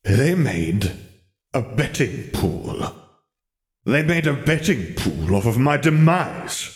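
A man's voice: medium pitch, very animated, slow, pitched above the performer's own voice. A man reading aloud, voicing an irritable, wary male wizard who speaks in an aggrieved, muttering way.

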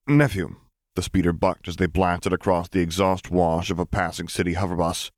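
A man with a low voice narrates a piece of fiction from a script. The recording is close and dry, with very little room sound. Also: little pitch movement, brisk, no echo. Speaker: audiobook narrator of fan fiction.

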